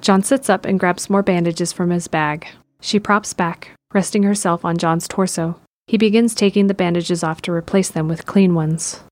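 A woman's voice: on the low side, fairly even intonation, moderate pace, hardly any pauses, a little mellow. A woman narrator reading scripted present-tense narration for an audio drama.

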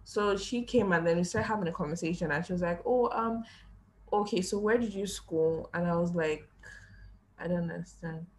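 A young woman who hosts a podcast talks in a relaxed, reflective way. Her voice sits low for a woman. The conversation is loose and casual. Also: moderate pace, poor audio. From Nigeria. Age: young adult. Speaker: podcast host.

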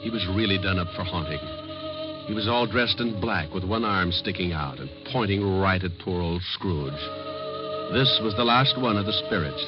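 An American actor as a wry, colloquial storyteller narrating in a mid-century radio drama. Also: male voice, sits low, normal speed, lightly acted. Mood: wry storytelling. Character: wry male storyteller narrating a Christmas ghost tale. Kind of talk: 1950s radio scripted narration. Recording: muffled old radio recording, music behind.

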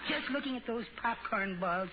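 A man's voice: very high voice, animated, moderate pace. A man playing a meek, childlike, henpecked husband with a mild, hesitant manner in an old radio comedy. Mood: meek, cheerful. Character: meek, henpecked little husband fond of treats. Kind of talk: 1940s-50s radio comedy acting. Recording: muffled, very noisy old radio recording.

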